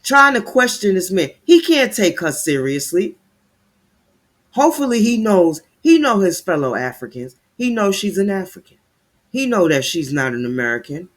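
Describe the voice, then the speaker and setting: A man's voice: sits high, big pitch swings, normal speed. A man giving heated, sarcastic commentary while reading news aloud, stumbling over words as he reads.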